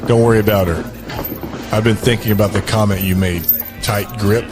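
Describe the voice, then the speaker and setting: An actor in an audio drama playing a brutal gang boss and enforcer with a curt, commanding manner. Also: male voice, on the low side, steady tone, a little fast, music in the background, natural voice. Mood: cold, menacing.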